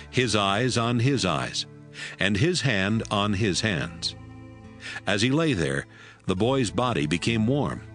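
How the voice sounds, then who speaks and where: A man's voice: lowish voice, fairly bright tone. A man narrating a dramatized Bible reading as a scripted storyteller.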